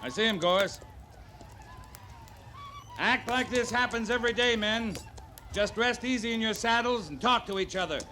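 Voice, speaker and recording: An actor playing a calm, authoritative frontier cavalry captain in an old-time radio western, clipped and dryly understated. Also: male voice, very high voice, moderate pace, pauses often. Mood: firm, commanding. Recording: noisy vintage radio drama recording.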